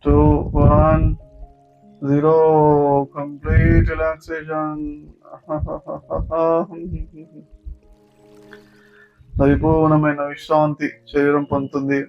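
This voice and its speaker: A man with a Telugu accent leading a guided yoga nidra meditation as a teacher, giving slow, repetitive, soothing instructions. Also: on the high side, completely flat delivery. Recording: dull lo-fi sound with music. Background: background music.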